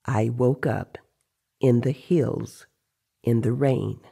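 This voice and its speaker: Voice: mid-range voice, fairly even intonation, takes it slowly, gravelly, dark tone, husky, exaggerated voice. A reader voicing a teasing male sorcerer who tells tales of his dreams in an audio story. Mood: storytelling.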